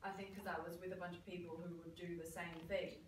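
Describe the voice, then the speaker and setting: A woman's voice: lowish voice, level tone, fast talker, natural voice. A woman playing a candid, self-mocking 20-year-old female student, chatting from afar in a small theatre. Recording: echoey room, distant camera mic, background noise.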